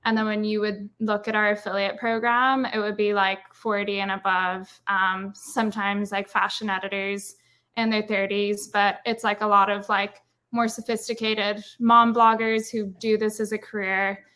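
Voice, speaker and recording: Irish woman, bright tone, chatty filler-heavy guest on a video call. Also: medium pitch, little pitch movement, moderate pace. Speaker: guest speaker, brand marketer. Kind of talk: casual webinar interview.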